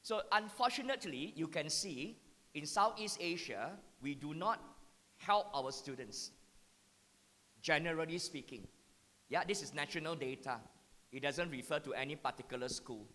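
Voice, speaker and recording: Man, Singaporean accent, education talk, fairly high voice, long hall echo. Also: expressive, normal speed, bright, forceful tone. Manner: repeats key points, checks in with "yeah?". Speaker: education speaker. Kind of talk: conference talk to teachers. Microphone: handheld mic.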